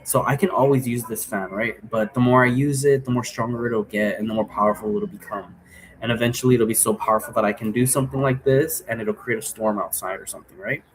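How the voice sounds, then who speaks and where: A man's voice: mid-range voice, fairly even intonation, fast talker, low-quality recording. A man giving a casual, rambling explanation in the manner of a vlogger, often checking in with a questioning tag. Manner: often tags sentences with a questioning "right?". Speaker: vlogger explaining role play rules.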